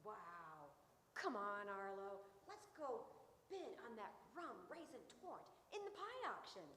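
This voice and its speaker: Sardonic schemer, radio comedy, conspiratorial, slow delivery, reverberant hall. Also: female voice, medium pitch, sing-song, pushed and bright. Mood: sly. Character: scheming, sardonic woman festival-goer. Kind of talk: live comic radio-show acting. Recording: echoey hall, faint noise.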